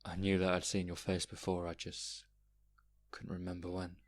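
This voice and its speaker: An actor playing an anxious, haunted man in an audio drama, speaking in a deliberate, brooding way. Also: low-pitched, monotone, natural voice. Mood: quietly earnest. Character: anxious haunted man recalling his own heart attack.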